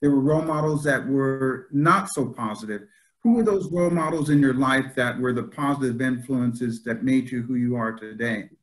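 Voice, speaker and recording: A man's voice: mid-range voice, moderate pace, low-quality recording. A man interviewing a guest over a video call, asking friendly, rambling questions full of fillers. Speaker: interviewer from a youth club. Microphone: video call mic.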